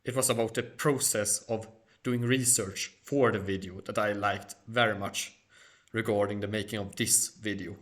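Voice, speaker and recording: A man whose first language is Swedish, hosting a solo question-and-answer video in measured, formal phrasing. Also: lowish voice, steady tone, a bit bright. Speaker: channel host. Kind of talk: solo Q&A monologue.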